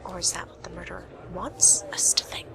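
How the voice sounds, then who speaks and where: A woman's voice: lowish voice, little pitch movement, brisk, gravelly, whispered. A woman playing a wry, determined young private investigator in an amateur radio play. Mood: wry, brisk.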